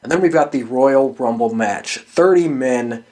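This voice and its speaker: A man's voice: mid-range voice, a little slow, a little mellow. A man hosting a show, giving casual, opinionated sports commentary in an emphatic, sweary way. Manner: profane and emphatic. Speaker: host of a wrestling prediction show.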